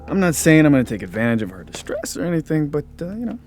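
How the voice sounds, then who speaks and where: An actor playing a brash, crude young ghost hunter with a cocky, casual manner in a radio drama. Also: male voice, a little high, sing-song, quick pace, slight background noise. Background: loud dramatic music.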